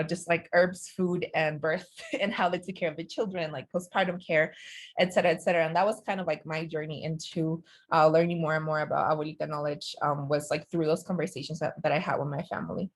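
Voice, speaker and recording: A woman from Peru speaks as a guest at an online talk, her voice sitting a little low for a woman. She talks in a loose, searching way, trailing off and correcting herself as she answers audience questions. Her voice comes through a computer mic on a video call. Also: steady tone, brisk. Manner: conversational and searching, many fillers and self-corrections.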